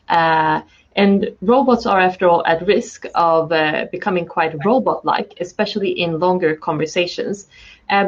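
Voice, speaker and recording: A woman moderating a conference panel, asking questions in a polite, measured way. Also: mid-range voice, muffled recording.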